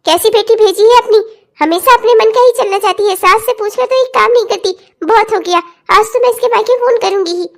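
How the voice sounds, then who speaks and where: A very high, shrill voice of a domineering mother-in-law, acted by a woman. She scolds and accuses with biting sarcasm, in the melodramatic manner of a family story. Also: brisk, vocal fry. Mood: furious.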